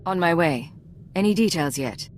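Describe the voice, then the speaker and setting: An actress playing a cool, resolute secret agent in a video game, terse and controlled. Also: female voice, fairly low pitch, lively intonation, normal speed, a little hiss or hum, natural voice. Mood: cool, guarded.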